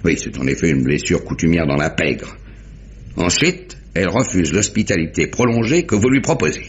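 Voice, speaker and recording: An actor playing a genial, methodical police inspector, recapping deliberately in a theatrical mid-century French radio mystery manner. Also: male voice, low-pitched, animated, slight background noise. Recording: dull vintage radio drama recording.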